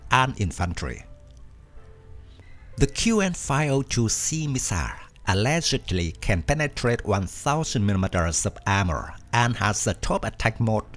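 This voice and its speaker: A man reading a scripted documentary narration at an even, measured pace. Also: on the low side. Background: faint background music.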